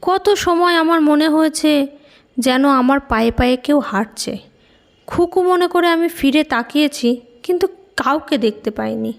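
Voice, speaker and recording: A woman playing a tender but resolute mother in an audio horror story, telling things in an expressive, intimate way. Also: high-pitched, a little slow, creaky. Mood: tender wonder. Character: Monika, tender resolute mother sensing a child ghost.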